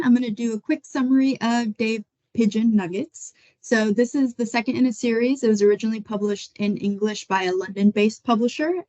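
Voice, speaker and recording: A young adult woman from Florida giving a measured, prepared book talk over a video call. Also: medium pitch. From United States (Florida). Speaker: book selection editor. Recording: muffled dull audio.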